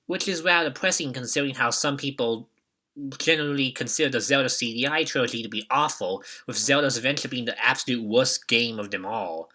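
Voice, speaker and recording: Young guy doing scripted retrospective narration for a video, really bright forceful voice. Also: medium pitch. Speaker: video host.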